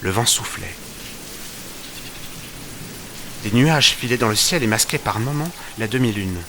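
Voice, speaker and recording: A man playing an earnest, wry detective's companion who narrates an audio drama in measured, literary storytelling. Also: on the low side, animated, bright, forceful tone, a little hiss or hum. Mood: measured, atmospheric. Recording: music under the voice. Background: music.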